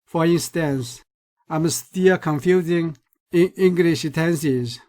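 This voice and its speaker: Chinese-accented male researcher, lecture voice-over, unhurried and careful, slightly raised pitch. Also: warm tone. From China. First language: Mandarin Chinese. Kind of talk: recorded lecture.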